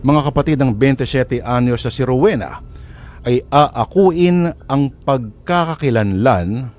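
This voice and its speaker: A man introducing a radio drama as host, speaking emphatically with drawn-out pauses between phrases. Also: mid-range voice, darkish tone. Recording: music under the voice. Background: faint music.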